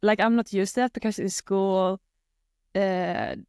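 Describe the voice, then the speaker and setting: A young woman from Sweden, a native Swedish speaker with a Swedish accent, answering interview questions in a relaxed, hesitant way with many fillers. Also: medium pitch, unhurried, smooth, dry recording, clean studio sound. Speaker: guest athlete. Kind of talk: casual interview chat.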